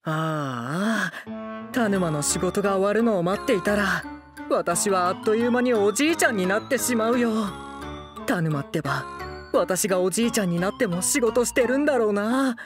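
Playful, whiny young anime lord. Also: male voice, high-pitched, animated, moderate pace, music in the background. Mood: pouting. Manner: sing-song wheedling, theatrical pouting. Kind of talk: anime voice drama comedy acting.

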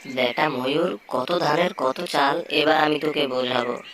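A woman voicing a sly, greedy male fox in a children's animated folk tale, wheedling and menacing. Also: low-pitched, measured pace, a little hiss or hum. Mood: scheming, threatening.

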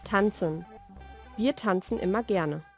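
A woman reads short scripted vocabulary lesson sentences in a clearly enunciated, measured way. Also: medium pitch, faint music behind. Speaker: language lesson narrator. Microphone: studio voice-over recording.

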